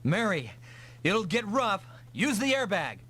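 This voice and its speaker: Casting: gung-ho young pilot hero for an anime-style game, confident, animated delivery. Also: male voice, high-pitched, a little slow. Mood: urgent. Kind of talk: dubbed anime-style video game acting. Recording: noisy vintage console game audio.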